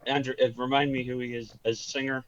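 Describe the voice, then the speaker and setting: A man hosting a live-streamed matching game, joking and quick to laugh. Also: mid-range voice, little pitch movement, lo-fi sound, a little hiss or hum. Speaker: game show host.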